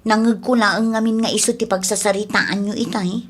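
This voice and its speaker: A woman's voice: medium pitch, fairly even intonation, normal speed, slightly rough, lightly acted. A woman playing a polite, reasonable young girlfriend in a radio drama, inquisitive and speaking with an Ilocano accent. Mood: curious, reasoning. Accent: Filipino (Ilocano).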